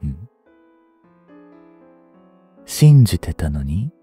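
A man in his twenties performs a smooth-tongued, treacherous captive prince in a dramatic audio play. His voice is low and dark, slightly husky, and theatrically courteous with a mocking edge. Music plays behind him. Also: big pitch swings, exaggerated voice. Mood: mocking, cruel. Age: 20s. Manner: slow, theatrical, courteous speech slipping into taunts. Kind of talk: Japanese audio drama acting. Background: background music.

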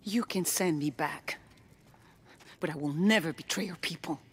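A woman's voice: deep voice, moderate pace, a little hiss or hum, lightly acted. An actress playing a steadfast, defiant young queen in a video game cutscene, speaking in a grave, resolute way.

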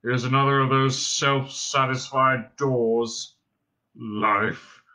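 A man voicing a gloomy, bored, self-pitying robot in a droning, muttering, sarcastic deadpan, reading from a storybook. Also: medium pitch, a little slow. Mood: despairing self-pity.

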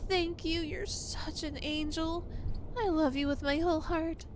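A woman's voice: very high-pitched, steady tone, slow, background noise. A woman playing a clingy, obsessive young girlfriend in an ASMR roleplay, sweet, gushing and emotional, with an apologetic, hesitant way of talking. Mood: earnest, clingy.

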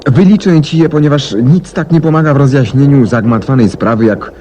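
An actor playing a brilliant, self-assured detective in 1970s radio drama style, courteous, deliberate and articulate as he explains things. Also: mid-range voice, mellow, natural voice. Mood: explanatory. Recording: 1970s radio recording with faint noise.

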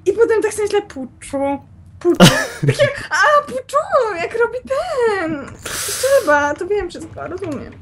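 A woman's voice: very high voice, sing-song, a little slow, fairly bright tone, slight background noise. A woman chatting while playing a game with a friend, giggling often and playfully complaining. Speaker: co-player. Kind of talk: casual let's-play chat.

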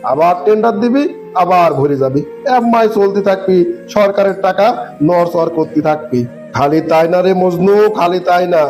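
High-pitched, smug corrupt official acted by a man. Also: darkish tone, close to an everyday voice. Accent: northern Bangladeshi. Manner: patronising, smugly explanatory. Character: corrupt, bossy male government river-dredging official. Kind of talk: comic cartoon dialogue.

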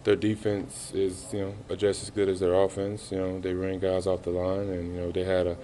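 A man in his thirties answering reporters after a game, halting and subdued, with many fillers. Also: low-pitched, monotone, mellow. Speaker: basketball player at a postgame press conference. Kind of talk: postgame press interview. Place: arena press room, indoors. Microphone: reporters' handheld mics held close.

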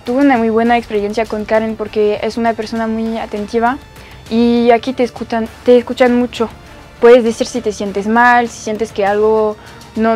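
A woman's voice: fairly high pitch, darkish tone. A young woman from France with a French accent, an exchange student telling her story in simple, searching phrasing. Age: young adult. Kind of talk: interview testimony. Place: studio set. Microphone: lapel.

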